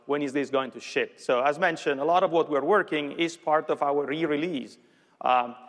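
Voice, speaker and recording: Guy doing a tech keynote demo, slightly high voice, big echoey hall. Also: fairly bright tone. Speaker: software executive presenting a product demo. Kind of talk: live keynote presentation. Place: large conference stage with audience. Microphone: lapel mic. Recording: reverberant large hall sound.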